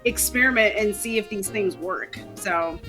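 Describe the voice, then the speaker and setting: A woman's voice: mid-range voice, a little slow, loud music behind the voice. A young woman chatting casually and informally in an online group. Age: young adult. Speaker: participant in an online script-reading group. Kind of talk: casual chat.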